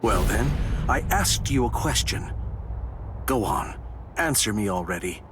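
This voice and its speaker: Aloof anime villain. Deepish, very measured pace, cold condescension, faint noise behind. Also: male voice, sing-song. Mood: cold menace. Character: cold, composed, menacing male villain. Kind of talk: English anime dub acting.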